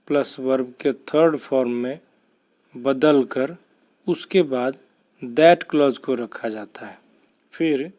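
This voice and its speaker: Slow-paced Indian man teaching grammar. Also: mid-range voice, darkish tone. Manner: deliberate, explanatory, enunciates examples word by word. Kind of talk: scripted instructional lesson narration.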